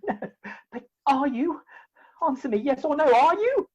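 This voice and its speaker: A woman playing a bumbling, lovesick Victorian gentleman in a comic play, with an English accent and blustering, theatrical delivery, recorded at home over a video call. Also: high-pitched, expressive, normal speed, highs cut off. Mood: flustered. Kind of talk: comic stage-play acting.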